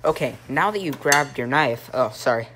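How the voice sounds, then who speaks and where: A teenage boy hosting a joking homemade cooking show in a home kitchen, rambling deadpan through mock instructions with plenty of ums, filmed on a phone by a friend. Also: medium pitch, moderate pace. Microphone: phone camera held by a friend. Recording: noisy home phone video.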